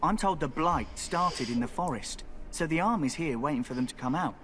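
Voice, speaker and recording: A cheeky young rogue. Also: male voice, fairly high pitch, expressive, fairly quick, a bit bright, slight background noise. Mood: sly.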